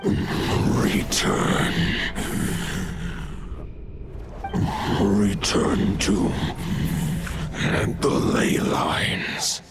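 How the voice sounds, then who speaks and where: Gravelly, ancient wolf god. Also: male voice, sits low, full character voice. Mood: commanding. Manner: halting, solemn. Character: weary, ancient, noble male wolf god. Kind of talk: dramatic video game voice acting. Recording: loud music under the voice.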